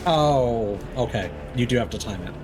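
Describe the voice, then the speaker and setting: A man doing casual, playful live commentary as he streams a game, excitable and given to calling out attacks. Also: medium pitch, normal speed. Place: indoors, streaming over loud game audio. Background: loud game music and effects.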